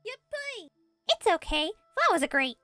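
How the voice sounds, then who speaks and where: A woman voicing a tiny, excitable, childlike fairy girl companion in a video game, exclamatory and eager. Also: very high-pitched, unhurried, dry recording, soft background music, pitched above the performer's own voice, exaggerated voice. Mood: delighted. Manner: exclamatory, refers to self by name. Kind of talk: amateur voice acting of video game dialogue.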